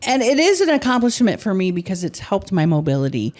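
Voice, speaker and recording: A woman hosting a podcast, chatting candidly and with animation, her loudness swinging up and down. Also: medium pitch, a little fast. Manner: candid, animated, swings between loud and soft. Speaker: podcast host. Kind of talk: casual chat.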